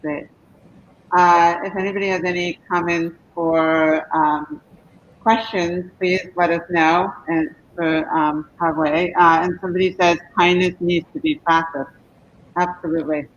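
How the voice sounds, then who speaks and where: A woman's voice: fairly low pitch, fairly even intonation, unhurried. Woman hosting, dull lo-fi sound. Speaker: host of live Q&A. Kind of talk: conversational interviewing, reading viewer comments. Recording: dull lo-fi stream with background noise.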